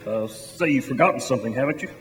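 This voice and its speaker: Actor as quick-talking polite bank clerk. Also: male voice, mid-range voice, animated, natural voice. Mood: polite, puzzled. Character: polite, hesitant male bank teller. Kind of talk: stage radio drama acting. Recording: echoey hall with long reverb.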